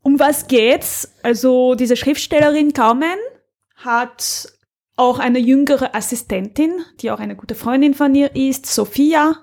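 A woman's voice: high-pitched, a little slow, a little mellow. A woman with an Austrian accent co-hosting a podcast in a casual chat, recommending audio dramas. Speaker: podcast co-host.

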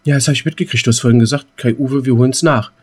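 A man's voice: mid-range voice, fairly even intonation, quick pace, breathy edge. A man co-hosting an unscripted podcast in colloquial, jokey banter, often repeating the start of his phrases. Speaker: podcast co-host.

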